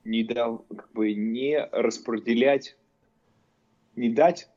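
Jokey guy saying "like" constantly, mid pitch, muffled lo-fi mic. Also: steady tone, takes it slowly, a little mellow. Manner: joking, heavy use of filler words. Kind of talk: casual chat. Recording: dull lo-fi sound.

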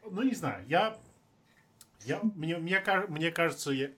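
Guy chatting with friends, kinda high voice, restarts his words a lot. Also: measured pace, husky. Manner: animated, restarts words, thinks aloud. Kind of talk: casual chat.

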